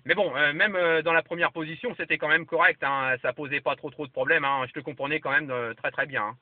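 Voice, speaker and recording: Lo-fi recording of a higher-voiced Frenchman. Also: steady tone, brisk, noisy background. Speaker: amateur radio operator. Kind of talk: casual radio chat, signal reports. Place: parked vehicle. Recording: lo-fi camera audio, muffled, dry.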